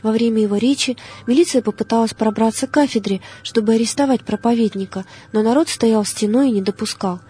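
A woman reading a scripted biographical audiobook in a measured, solemn manner. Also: on the high side, mellow, husky. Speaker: narrator.

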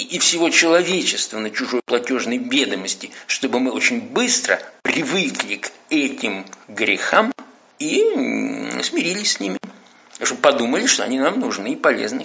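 Priest lecturing emphatically over a phone line. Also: male voice, medium pitch, vocal fry, sharp tone. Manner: emphatic, lecturing with slow stressed words. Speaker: priest guest. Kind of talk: sermon-like commentary.

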